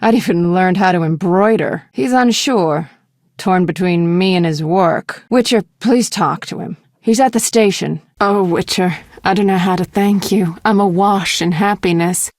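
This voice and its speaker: An actress playing a streetwise escort in a fantasy video game, flirtatious yet tender, with a coy, sweet-talking manner. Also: female voice, fairly low pitch, measured pace, darkish tone, no echo, clean studio sound. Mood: worried, pleading, then happy, hopeful.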